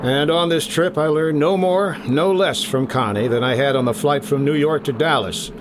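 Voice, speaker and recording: An actor playing a wry, breezy freelance insurance investigator, narrating in clipped hardboiled 1950s radio style. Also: male voice, a little high, moderate pace, noisy background, lightly acted. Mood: wry, suspicious.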